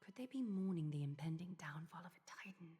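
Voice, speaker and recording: An actress playing an aloof, calculating noblewoman leader in a video game, speaking formally and eloquently with measured composure. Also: lowish voice, expressive, mellow, lightly acted. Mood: solemn, pensive. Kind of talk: scripted video game voice acting. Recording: long reverb, music behind.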